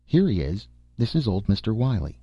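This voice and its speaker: Female nurse voiced by a male reader: firm, indignant, plain-spoken, soft dark tone. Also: on the low side, big pitch swings, normal speed, husky, no room sound, dull audio, faint music behind, pitched above the performer's own voice. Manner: snapping, assertive.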